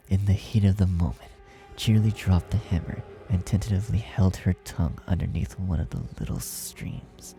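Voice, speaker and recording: A man reading a dark fan fiction story aloud as scripted, dramatic narration. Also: low-pitched, creaky. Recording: music behind the narration. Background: music.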